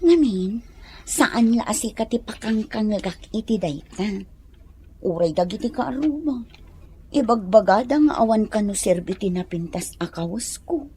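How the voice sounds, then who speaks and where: A woman acting an irritable, sharp-tongued wife in an Ilocano radio comedy drama, with an Ilocano accent. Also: mid-range voice, expressive, moderate pace, slight background noise, lightly acted. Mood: soft, apologetic.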